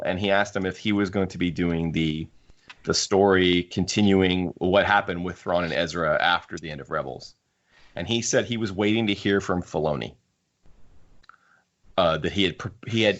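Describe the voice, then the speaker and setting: Low-voiced man in halting podcast chat. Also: a bit bright, lo-fi sound. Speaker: podcast host. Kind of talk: casual book discussion among friends.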